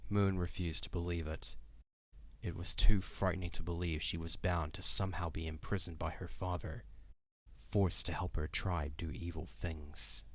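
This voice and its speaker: A man's voice: low voice, steady tone, normal speed, a bit bright, dry recording. A man narrating a fantasy audio drama in a dramatic, scripted storytelling style. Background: music.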